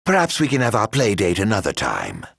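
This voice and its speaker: A man playing a middle-aged video game villain, sardonically genteel and flamboyant, with mock-polite, drawn-out delivery. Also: fairly low pitch, wildly swooping pitch, vocal fry, a bit bright, husky, no echo, studio quality, full character voice. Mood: airy, mocking. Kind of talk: video game battle voice lines.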